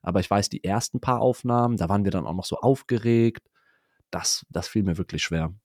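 A man with a lingering cold talks through his podcast year in a relaxed, rambling way. His voice sits a little low and sounds close and dry, with no room around it. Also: brisk, no echo. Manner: reflective, talks in loose run-on sentences. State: has a cold. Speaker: podcast co-host. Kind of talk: casual podcast chat.

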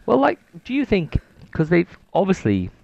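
A man's voice: high-pitched, wildly swooping pitch, moderate pace, creaky, dry recording, muffled recording. A man with a Welsh accent, co-hosting a casual gaming podcast and rambling with lots of fillers. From Wales. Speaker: podcast co-host.

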